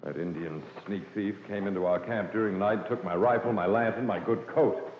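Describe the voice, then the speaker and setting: Actor as a quarrelsome camper in a cavernous echo. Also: male voice, fairly low pitch, brisk, natural voice. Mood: indignant. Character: irritable, suspicious male camper, quick to quarrel. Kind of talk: old-time radio drama acting. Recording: noisy, dull, echoey old radio recording.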